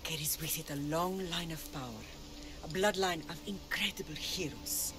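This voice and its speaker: An actress playing a steadfast warrior mother who instructs her child in a measured, solemn way in a game cutscene. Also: low voice. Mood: solemn, reassuring. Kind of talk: dramatic game cutscene acting. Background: prominent music.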